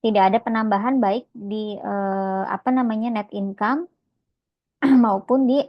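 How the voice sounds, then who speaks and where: A woman's voice: mid-range voice, fairly even intonation, unhurried, dry recording, highs cut off. A woman lecturing informally on accounting step by step, conversational and often checking in with short tags.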